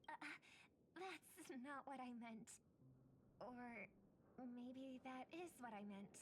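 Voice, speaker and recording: Polite, higher-voiced young songstress. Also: female voice, animated, takes it slowly, slight background noise. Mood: hesitant, polite. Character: polite, earnest female singer, cautiously probing. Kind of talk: video game character dialogue.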